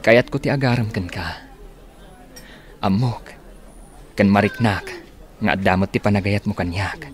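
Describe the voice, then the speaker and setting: An actor playing a lovestruck young suitor, earnest and coaxing, with an Ilocano accent in a radio drama. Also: male voice, fairly low pitch, measured pace, long pauses, close to an everyday voice. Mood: pleading, tender.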